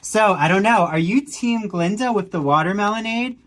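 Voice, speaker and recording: A woman in her thirties co-hosting a podcast, reading sponsor spots in a gushing, animated way. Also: medium pitch, normal speed. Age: 30s. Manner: gushing, animated, with theatrical gasps. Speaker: podcast co-host. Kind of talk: casual chat with sponsor reads.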